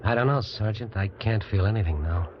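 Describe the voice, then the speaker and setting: A man plays a weary captured fugitive in an old radio play, wry and resigned to his fate. His voice is very low and plainspoken. It comes through a dull, noisy vintage recording. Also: a little fast, lightly acted. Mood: weary, numb. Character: resigned, wry male fugitive prisoner, freezing and worn out. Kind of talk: old-time radio drama acting.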